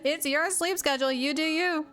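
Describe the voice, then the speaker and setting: Female game streamer, high voice, playful off-the-cuff reactions, faint music behind. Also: normal speed, a bit bright. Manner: playful, repeats phrases for emphasis. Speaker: livestreamer. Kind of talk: casual game reaction commentary.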